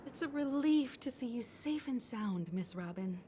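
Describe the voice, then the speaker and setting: A woman voicing a polite, calm young female traveller in a video game. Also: fairly high pitch, moderate pace, noisy background, natural voice. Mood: polite, concerned. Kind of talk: scripted video game voice acting. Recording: loud music behind the voice.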